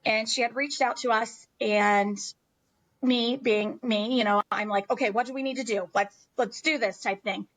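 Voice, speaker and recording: A woman's voice: on the high side, fairly even intonation, moderate pace, fairly bright tone, poor audio. An American woman telling a personal story as an interview guest in a candid, emphatic, conversational way.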